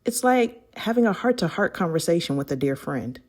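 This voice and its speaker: Low-pitched woman reading an inspirational script. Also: big pitch swings, brisk, darkish tone. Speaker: audiobook narrator. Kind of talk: scripted book foreword narration, inspirational.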